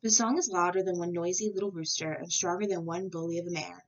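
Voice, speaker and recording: A woman's voice: fairly low pitch, steady tone, fast talker, muffled recording, lightly acted. A woman voicing a plucky, stubborn and brave little male rooster in a storybook, earnest and defiant. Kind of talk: storybook character voice.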